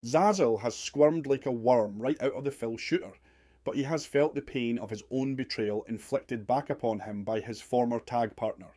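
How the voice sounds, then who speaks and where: An earnest wrestling commentator played by a man with a mellow, mid-pitched voice. He calls the parody match in florid, dramatic phrases, recorded dry and close. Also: moderate pace, no room sound, close to an everyday voice. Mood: dramatic commentary.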